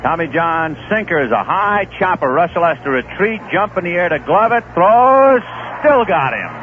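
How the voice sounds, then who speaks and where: A man doing live play-by-play for a baseball radio broadcast, telling relaxed anecdotes between pitches, on a tinny old radio recording. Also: moderate pace. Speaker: play-by-play announcer. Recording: tinny lo-fi 1970s radio broadcast with faint hiss.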